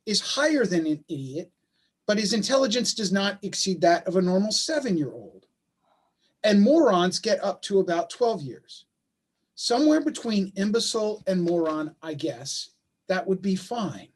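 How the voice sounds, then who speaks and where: A man's voice: sits high, sing-song, moderate pace, breathy edge, heavily acted. A man playing a bitter, anguished father and husband in a staged play reading, speaking with emphatic insistence over a video call. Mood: reading aloud, wry.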